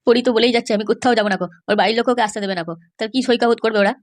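A forlorn young husband from a comedy cartoon, voiced by a woman, pitched well below the shrill figures around him. He frets and whines helplessly in a hurried stream. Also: fairly even intonation, natural voice. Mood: worried. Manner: whining, helpless. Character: worried, forlorn husband pining for his runaway wife. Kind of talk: exaggerated cartoon dialogue.

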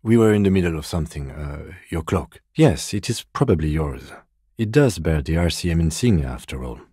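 Very deep, composed male detective. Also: animated, warm tone, breathy edge, no echo, clean studio sound, heavily acted. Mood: calm, matter-of-fact. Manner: measured, formal, understated. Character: composed, dry, methodical male police detective. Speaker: video game voice actor. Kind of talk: video game character dialogue.

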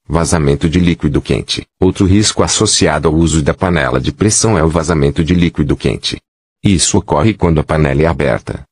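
A man giving kitchen safety tips in a steady, instructive scripted voice-over. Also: sits low, breathy edge, dry recording, clean studio sound.

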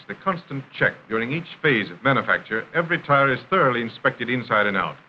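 An American man reading scripted narration for a 1930s industrial film in a formal, measured announcer style. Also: medium pitch. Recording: dull, noisy 1930s film soundtrack.

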